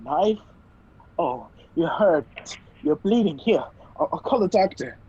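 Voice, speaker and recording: A man playing a polite, earnest gentleman hero in an old-time radio play. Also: fairly high pitch, animated, takes it slowly, slightly rough, exaggerated voice. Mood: alarmed. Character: gentlemanly male hero caught in a spy intrigue. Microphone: video call. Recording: dull, noisy video call audio.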